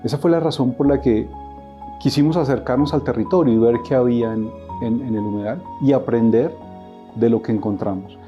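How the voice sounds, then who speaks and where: A man with a very dark, soft-edged voice explains things in a documentary interview. The recording is slightly dull, with faint music behind him. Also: mid-range voice, fairly quick.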